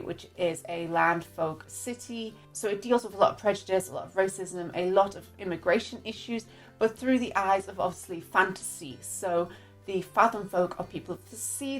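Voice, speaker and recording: Chatty northeast English woman reviewing books. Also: medium pitch. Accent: northeast English, mixed with other English accents. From northeast England. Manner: chatty, candid, reads quotes aloud. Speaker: book reviewer. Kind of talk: casual book review.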